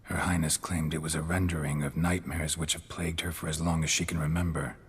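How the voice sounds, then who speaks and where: Game role: gruff male monster hunter, deep and gravelly, laconic, unimpressed. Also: a little fast, exaggerated voice. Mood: measured reading. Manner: terse, clipped, deadpan delivery. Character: gruff, stoic male monster-hunting mercenary in dark fantasy. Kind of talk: video game cutscene acting.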